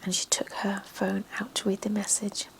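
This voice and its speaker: A woman tells a story entirely in a breathy, unvoiced whisper. Her delivery is hushed and even, with pitch sitting in an ordinary middle range for a woman. Every word comes out soft and close. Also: normal speed, rough recording. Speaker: narrator. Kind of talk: whispered ASMR story reading.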